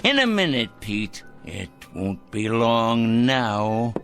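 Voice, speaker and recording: An actor playing a fanatical, self-righteous, paranoid man, ranting excitably in theatrical radio drama style. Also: medium pitch, drawn-out words, soft background music, heavily acted. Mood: smug, gloating. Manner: ranting, excitable, muttering and crooning to a pet. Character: fanatical, paranoid, self-righteous male crank obsessed with punishing evildoers.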